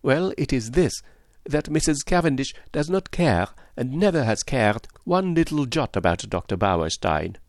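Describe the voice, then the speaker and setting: A clever older foreign detective of the storybook kind, as a male narrator plays him. He speaks precisely and placidly, with a teasing air and very lively swings of pitch, in a close, dry sound. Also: unhurried, no room sound. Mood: confiding. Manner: precise, philosophical, sprinkled with French endearments. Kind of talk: audiobook character dialogue.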